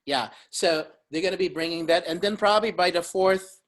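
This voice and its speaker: A man's voice: high voice, fairly quick, a bit bright. A man from the Dominican Republic, a podcast guest talking casually, rambling with many fillers and laughing often. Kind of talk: casual chat.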